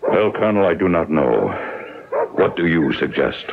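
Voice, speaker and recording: Stately educated chief, formal, deliberate, shrewd, low voice, thin phone-like radio drama sound. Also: male voice, animated, gravelly, heavy background noise. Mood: courteous, measured. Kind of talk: old-time radio drama acting. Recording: tinny narrow-band radio recording with music.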